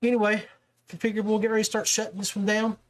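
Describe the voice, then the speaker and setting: A man's voice: high-pitched, little pitch movement, fast. A man with an American accent chatting casually as the host of a podcast. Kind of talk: casual chat.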